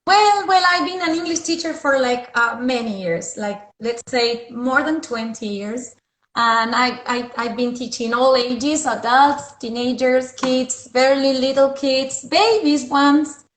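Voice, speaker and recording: A woman's voice: sits high, a little slow, slight background noise. A woman in her forties from Argentina with an Argentine accent, an English teacher chatting at home over a video call with lots of fillers. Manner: chatty, many fillers, laughs easily. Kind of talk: casual video call chat. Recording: roomy video call audio.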